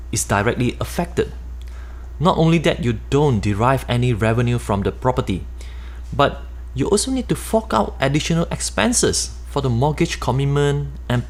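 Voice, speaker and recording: A Malaysian-accented man in middle age reads his own personal finance book aloud. His voice sits in an ordinary middle pitch, and he keeps a steady, explanatory pace, like an author walking readers through his points. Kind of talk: nonfiction audiobook narration.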